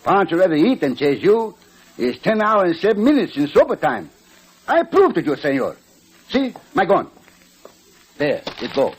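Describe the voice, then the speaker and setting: An actor playing an excitable, food-loving Mexican cowboy sidekick with an exaggerated Mexican accent and broken English, in old radio comedy style. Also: male voice, high-pitched, normal speed, pauses often, breathy edge, heavily acted, low-quality recording. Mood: eager, sly. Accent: exaggerated Mexican Spanish. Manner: broken English, teasing, whining. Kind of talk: 1940s-50s radio western comedy acting.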